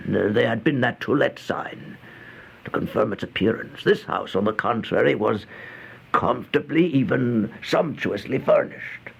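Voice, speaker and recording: An actor playing a starving, feeble, fearful vagrant in an old-time radio horror monologue, halting and stammering, with gasps and whispers. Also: male voice, medium pitch, gravelly, breathy edge, highs cut off, exaggerated voice. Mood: fearful, uneasy. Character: starving, feeble, once-proud male vagrant turned housebreaker, haunted and fearful. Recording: noisy old radio drama recording.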